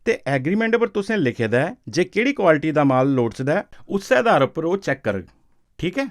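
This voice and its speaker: A man's voice: fairly high pitch, very animated, a little fast. A man with a Dogri accent explains in a conversational, instructive way for an educational film, often checking that he is understood. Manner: didactic, often seeks confirmation with short check questions. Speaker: expert explaining a farm law to farmers.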